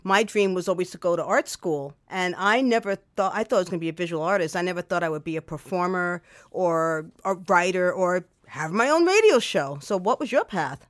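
Radio host from the Bronx, woman, expressive storyteller, dry studio sound, close shared mic. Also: on the low side, a little fast, hardly any pauses, no echo. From New York, the Bronx. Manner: expressive, animated reading. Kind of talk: storytelling then casual interview. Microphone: shared microphone up close.

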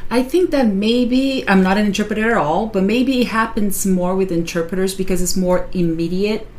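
A woman's voice: mid-range voice, moderate pace, a little hiss or hum. A woman who works as a translator, chatting casually and telling stories with plenty of "you know" and "uh". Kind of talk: casual conversation.

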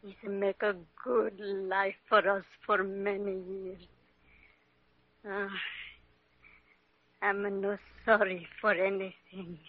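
An actress playing a gentle, ailing middle-aged Italian mother, wistful and loving, in tender broken English with an Italian accent in an old radio drama. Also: medium pitch, flat delivery, takes it slowly, long pauses. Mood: wistful, fond. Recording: muffled old radio recording.

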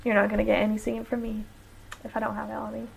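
A woman's voice: fairly high pitch, monotone, very fast, vocal fry, slightly rough. A young American woman, a musician guest on a podcast, chatting casually with many fillers and frequent laughs. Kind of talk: casual chat.

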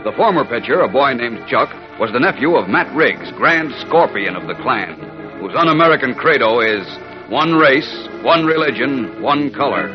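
Male 1940s serial announcer, urgent dramatic narration, medium pitch, muffled recording over music. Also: expressive, normal speed. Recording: muffled old radio recording.

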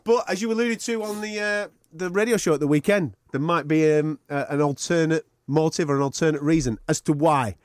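A man's voice: on the high side, a little fast, hardly any pauses, no echo, studio quality. A man hosting a boxing podcast in casual chat, chatty and swearing freely.

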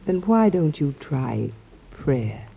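A concerned, devoted wife in an old radio play. Her voice is soft, soothing and husky, heard through a dull lo-fi recording. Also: deep voice, very animated, slow, heavily acted. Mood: gentle concern. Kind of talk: 1940s American radio drama acting.

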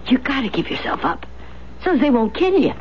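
Kindly old country granny, muffled. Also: female voice, high-pitched, sing-song, moderate pace. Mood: worried, pleading. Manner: folksy, pleading. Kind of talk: 1970s radio drama acting. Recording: dull, noisy 1970s radio recording.

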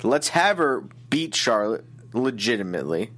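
A man's voice: mid-range voice, big pitch swings, normal speed, a bit bright. A man co-hosting a casual wrestling show, ranting about the way matches are booked with exasperated opinions. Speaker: wrestling recap co-host.

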